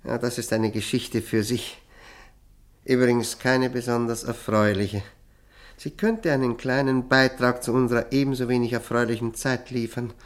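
An actor playing a modest, courteous middle-aged lawyer in a radio drama, speaking in a hesitant, deliberate and polite way. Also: male voice, mid-range voice, fairly even intonation, natural voice. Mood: pensive, confiding.

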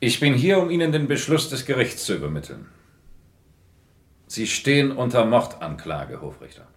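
A man playing a grave older army major in a German radio crime play, formal, measured and authoritative. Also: mid-range voice, very animated. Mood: grave, solemn. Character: grave older male army major, stern, honour-bound.

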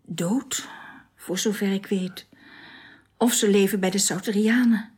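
An actress playing a tender, wistful woman in love in a radio drama, speaking softly and intimately. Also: mid-range voice, fairly even intonation, measured pace. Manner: soft, breathy, intimate. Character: tender, wistful woman confiding in her lover.

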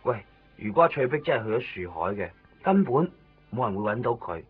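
A man's voice: mid-range voice, big pitch swings, brisk, husky. A man with a Hong Kong accent playing a fretful, clingy, dramatic friend in a radio drama, chatty in manner. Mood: alarmed. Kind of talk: Cantonese radio drama acting. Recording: muffled 1990s radio recording, faint music. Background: faint music.

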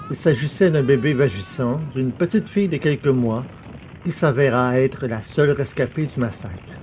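Male storyteller with medium pitch. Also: a little mellow. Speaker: narrator. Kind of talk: scripted story narration.

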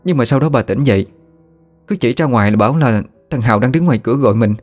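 A man's voice: medium pitch, animated, quick pace, a little mellow, faint music behind, voice pushed up, natural voice. A man voicing a tearful, exhausted grown daughter who pleads and explains. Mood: weary.

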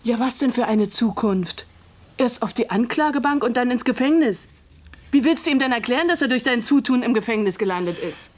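A woman's voice: a little high, animated, brisk. An actress in a German radio drama playing a cutting, sarcastic, middle-aged mother who defiantly shields her son.

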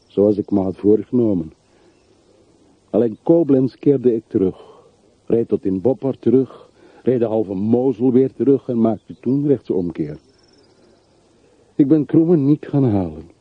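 Husky, brooding man in mourning. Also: mid-range voice, expressive, a little slow, pauses often, no echo. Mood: bitter, mournful. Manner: melancholy, reflective, literary monologue. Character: brooding, bitter man from a rich family, mourning his dead. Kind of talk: radio drama acting. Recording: muffled, narrow-band old radio play recording.

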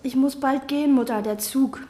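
Withdrawn young chambermaid, short flat answers, slightly high voice. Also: female voice, normal speed. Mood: curt. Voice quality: terse, flat, clipped answers. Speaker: hotel chambermaid. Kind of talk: radio play acting with inner monologue.

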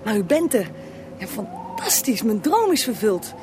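A young female reporter, eager and awed, with a fairly high, very lively voice. She is inquisitive and animated, in radio play style. There is clear noise on the recording. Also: a little slow. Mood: awed, excited. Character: eager, awed young female journalist interviewing a historical ghost. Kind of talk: radio drama acting.

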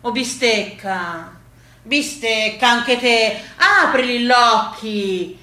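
A man's voice: very high-pitched, slow pace, pushed and bright, roomy sound, full character voice. A woman playing a plain-spoken, indignant old Tuscan peasant grandfather in theatrical storytelling. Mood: scolding.

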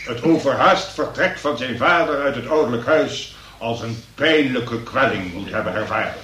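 A man's voice: mid-range voice, a bit bright. A man acting a pompous, long-winded examining magistrate in a comic radio play, speaking in ornate legal phrases. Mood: lecturing. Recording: noisy, echoey room, distant from mic.

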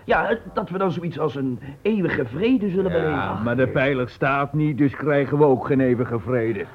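Men playing tired, hungry workmen who complain about their endless labor in an old radio play. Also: male voice, medium pitch, animated, moderate pace, muffled recording, crowd noise, lightly acted. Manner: complaining, worn out. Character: tired, hungry male temple carpenters.

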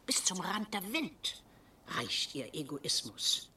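Imperious, theatrical elderly schoolmistress, lively pitch. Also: female voice, takes it slowly, far from the mic, slight background noise, full character voice. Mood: indignant, imperious. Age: older. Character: domineering, bitter elderly retired schoolteacher sister, disdainful of men. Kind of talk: German radio drama acting.

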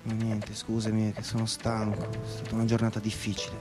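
A man's voice: lowish voice, monotone, a little fast, over music, natural voice. An actor playing a jaded, coked-up professional man narrating his restless night in a confessional, cynical radio theatre monologue. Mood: tired, evasive.

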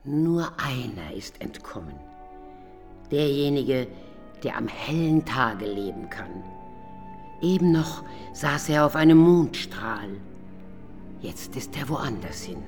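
A female night spirit, motherly and secretive, in a German radio drama. She speaks very slowly and solemnly, admonishing and pleading like a guardian. Clear music sits behind her voice. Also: very deep voice, very animated, darkish tone, full character voice. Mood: sorrowful, consoling. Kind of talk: German radio play acting.

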